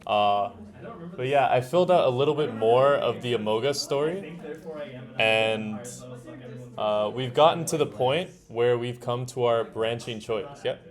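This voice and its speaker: A man leading an informal hands-on tutorial, explaining steps in a casual, halting way as he thinks aloud. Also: medium pitch. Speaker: workshop instructor. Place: indoor workshop room. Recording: noisy room with keyboard typing. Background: keyboard typing, room noise.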